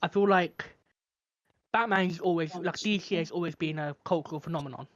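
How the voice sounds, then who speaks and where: A man's voice: a little high, brisk, muffled recording. A man co-hosting a podcast in casual chat, tentatively searching for his words. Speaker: podcast co-host.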